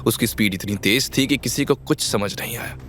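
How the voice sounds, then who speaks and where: A man's voice: mid-range voice, brisk, background noise. A man narrating a scripted audio drama story with dramatic delivery.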